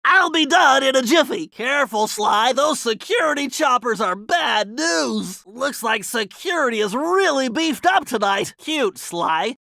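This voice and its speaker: A man's voice: very high voice, expressive, a little slow, creaky, hardly any pauses, pushed and bright, no echo, full character voice. A man voicing a brainy, cautious turtle hacker in a cartoon video game, with a nasal voice and precise, wordy, slightly fussy delivery. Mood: instructing.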